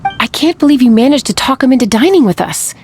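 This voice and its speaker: A woman playing a friendly, lively female character in an anime video game. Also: sits high, quick pace, slight background noise. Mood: amazed, friendly.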